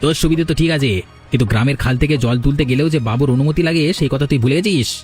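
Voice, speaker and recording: A man's voice: a little high, racing, darkish tone, faint music behind, close to an everyday voice. A man playing a landlord's bullying henchman in a cartoon, hectoring and threatening. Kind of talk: cartoon character dialogue.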